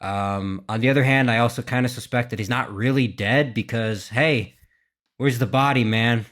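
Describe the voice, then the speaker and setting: A man hosts a gaming reaction video in a middle-pitched voice. He talks casually and without a script, working through his thoughts aloud with hesitations. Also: moderate pace, a bit bright. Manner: hesitant, thinking aloud, mild swearing. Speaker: YouTube gaming reaction host. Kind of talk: casual unscripted reaction commentary.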